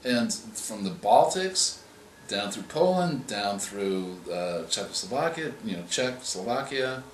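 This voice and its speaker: A man's voice: lowish voice, animated, slight background noise. Man explaining in an echoey room. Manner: measured, explanatory, with frequent restarts. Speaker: author. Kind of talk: interview answers on writing craft. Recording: echoey room with faint ambience.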